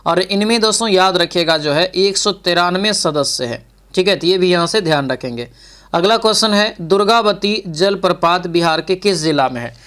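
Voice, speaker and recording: A man's voice: high voice, moderate pace, fairly bright tone. A man giving a spoken quiz lesson as an exam coach, reading out questions and repeating answers directly to his learners.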